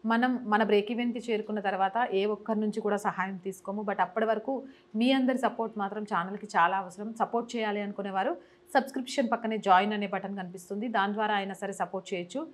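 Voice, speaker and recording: Female journalist host, 30s, fast emphatic news read, lapel mic, small studio. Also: mid-range voice, steady tone. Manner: brisk, emphatic newsreader delivery. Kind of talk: news presentation to camera.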